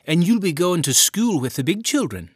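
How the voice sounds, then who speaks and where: A man voicing a caring farm mother in a storybook reading, his voice lifted high and a little louder. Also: sing-song, moderate pace, breathy edge, no echo, studio quality. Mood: encouraging. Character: anxious, caring farm mother, Irish-born.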